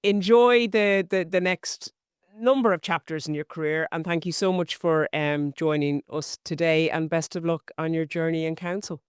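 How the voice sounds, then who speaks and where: A woman hosting an interview podcast, encouraging and warm, often repeating words as she finds her phrasing. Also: low-pitched, normal speed, clean tone, no room sound, clean studio sound. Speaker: podcast host.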